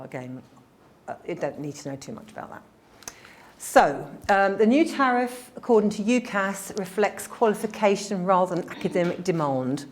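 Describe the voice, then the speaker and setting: A woman with a slightly croaky voice, giving a quick, informal briefing on admissions to a group. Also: fairly low pitch, darkish tone. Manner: quick-talking, informal, with fillers. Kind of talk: presentation to a group.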